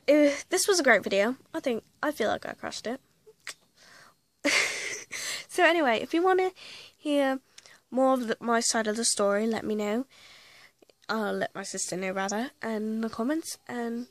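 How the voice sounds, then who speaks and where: Woman vlogger with a slight cold, higher voice, dry close sound, chatty and rambling, keeps correcting herself. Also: dry recording. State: slight cold, losing her voice. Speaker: vlogger playing an invented actress. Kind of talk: casual storytelling vlog.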